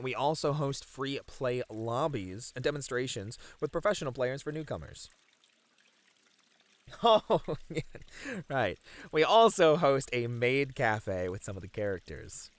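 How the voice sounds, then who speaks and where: Casting: male actor as easygoing gamer event manager, very animated intonation, mid pitch, chatty and a bit flustered, ASMR roleplay. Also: normal speed, dry recording, lightly acted. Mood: friendly, inviting. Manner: casual, chatty, hesitant filler-laden banter. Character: friendly, slightly flustered male gaming tournament manager.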